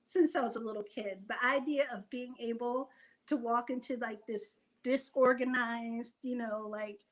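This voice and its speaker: Female, high-pitched voice. Writer as interview guest, casual and animated, thinking aloud. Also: normal speed, rough recording.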